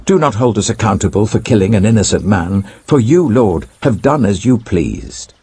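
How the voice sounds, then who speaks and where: Man playing a husky, frightened sailor. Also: on the low side, very animated, normal speed, mellow, no room sound, full character voice. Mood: pleading. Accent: British. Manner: urgent, questioning, imploring. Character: frightened ancient sailors, urgent pleading men.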